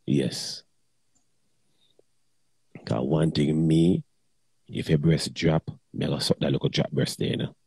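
Man, middle-aged, very deep, husky, blunt patois chat. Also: fairly even intonation, slow pace, pauses often, dark tone, dry recording, low-quality recording. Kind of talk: casual chat.

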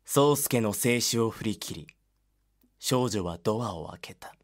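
A man narrating a dark voice drama as a solemn, grave storyteller. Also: lowish voice, expressive, slight background noise. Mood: grave, ominous. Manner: grave, measured.

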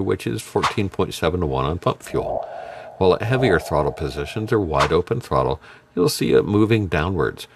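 Deep-voiced middle-aged man explaining tech. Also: a little hiss or hum. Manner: steady, explanatory with dry jokes. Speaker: automotive tuning instructor. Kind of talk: scripted technical explainer. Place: dark studio set with purple lights. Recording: music bed underneath.

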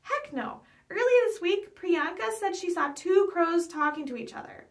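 Woman reading as an eager, high-voiced schoolboy. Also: normal speed, roomy sound. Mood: excited. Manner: excited, inquisitive. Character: eager schoolboy obsessed with ghosts and the weird. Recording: voice picked up from some distance.

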